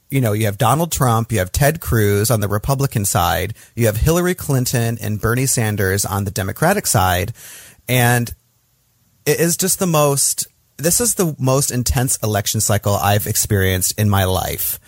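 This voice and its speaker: A man's voice: medium pitch, expressive, normal speed, no room sound. Frank American man, dry sound. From Michigan, USA. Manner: frank, emotional, swears, laughs often. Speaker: podcast guest. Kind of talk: casual conversation.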